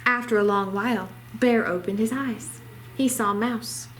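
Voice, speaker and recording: A woman's voice: on the high side, fairly even intonation, unhurried, slight background noise. A woman reading a children's story aloud expressively, as a library storytime reader.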